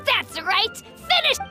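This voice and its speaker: A proud, earnest little boy in a video game. The voice is extremely high and very expressive, taking its time over emphatic childish words. Music plays clearly behind it. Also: exaggerated voice. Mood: gloating.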